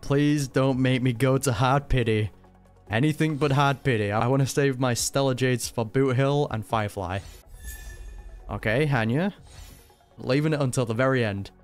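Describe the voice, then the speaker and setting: A man giving informal play-along commentary on a game, reacting out loud. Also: mid-range voice, normal speed, clean tone. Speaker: gaming reaction streamer. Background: game music.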